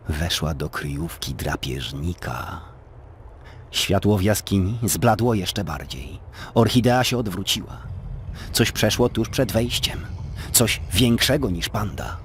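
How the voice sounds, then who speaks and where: A man narrating a scripted story as a dramatic, expressive storyteller in an audio drama. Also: deep voice, slow pace, a little hiss or hum.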